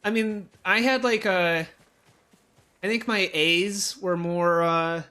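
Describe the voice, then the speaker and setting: Co-host for a let's play: young man, fairly high voice, rambling and hesitant. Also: steady tone, slow, pushed and bright. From Ohio, USA. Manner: rambling, hesitant, many fillers and restarts. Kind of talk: casual gameplay chat.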